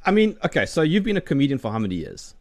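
A man's voice: on the high side, big pitch swings, rapid-fire, no room sound, studio quality. Casual South African man hosting. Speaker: show host interviewer. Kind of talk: casual video-call interview chat. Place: remote video call.

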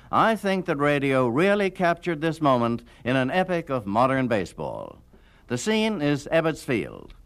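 A man's voice: on the high side, fairly bright tone. A man hosting and narrating a scripted radio documentary about the past.

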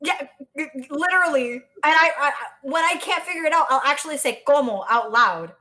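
An American woman from South Florida chatting casually as a podcast co-host, telling stories in an animated way. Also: high voice, fairly quick. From South Florida, United States. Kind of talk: casual conversational chat.

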